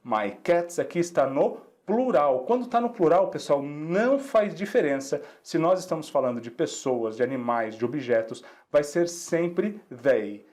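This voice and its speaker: A Brazilian man with Portuguese as his first language, teaching an English lesson and patiently repeating each phrase slowly so students can drill it. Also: a little high, animated, a little mellow. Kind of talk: classroom lesson explaining exercises.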